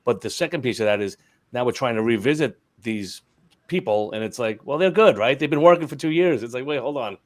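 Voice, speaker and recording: A man's voice: medium pitch, expressive, fairly quick. A man hosting a casual panel discussion on IT, often repeating words and restarting sentences. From Long Island, New York. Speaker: show host, IT provider.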